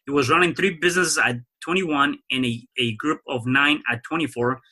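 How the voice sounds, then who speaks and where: A man's voice: on the high side, moderate pace, sharp tone, breathy edge. A man hosting a podcast interview, upbeat, often repeating words as he starts a thought. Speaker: podcast host.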